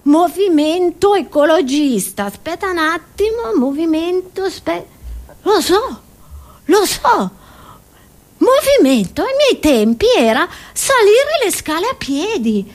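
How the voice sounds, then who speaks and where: A very high-voiced old grandmother, acted by a woman in a comic stage monologue. She is indignant and rambles through her memories, stammering, exclaiming and repeating herself. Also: sing-song, takes it slowly, exaggerated voice. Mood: flustered, reminiscing.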